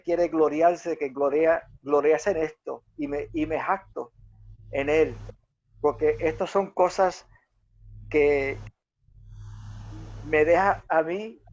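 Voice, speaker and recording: A man whose first language is English, speaking Spanish in a casual Bible discussion and often pausing to search for words. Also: on the high side, a little mellow, muffled recording. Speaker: Bible study participant.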